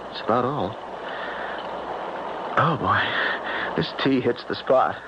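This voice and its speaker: Young male sailor, lovelorn and halting, mid-range voice, noisy vintage radio drama sound. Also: mid-range voice, expressive. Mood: shaken, weary. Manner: halting, earnest, hesitant. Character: earnest lovelorn young sailor home from sea. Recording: muffled noisy 1970s radio broadcast.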